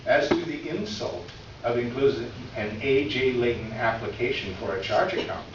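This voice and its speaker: A man playing a condescending, officious department store official, reading a letter aloud on stage in a formal, sarcastic manner with deliberate emphasis. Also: medium pitch, natural voice. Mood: smugly polite, sarcastic. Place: live reading in an echoey room. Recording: distant echoey room, muffled, faint noise.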